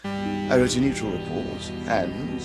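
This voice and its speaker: A man's voice: mid-range voice, slow, loud music behind the voice. A man with a British accent acting in a radio drama as a wry, breezy, knowing heraldry expert who talks in an arch, chatty way. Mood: wry, informative.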